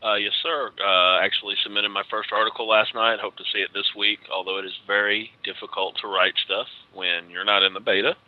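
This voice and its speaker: Low-voiced Texan man, lo-fi. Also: little pitch movement, brisk, few pauses. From Texas. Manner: laid-back, joking. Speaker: guest writer. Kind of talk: casual podcast chat. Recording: dull lo-fi sound.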